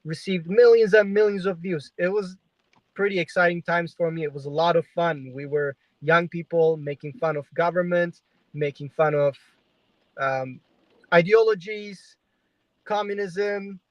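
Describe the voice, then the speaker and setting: A man's voice: fairly high pitch, unhurried, dry recording, lo-fi sound. A man in his twenties who grew up in Turkey, telling his story as a livestream guest in a chatty way with frequent fillers. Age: 20s. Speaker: guest journalist. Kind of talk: livestream interview. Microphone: video call.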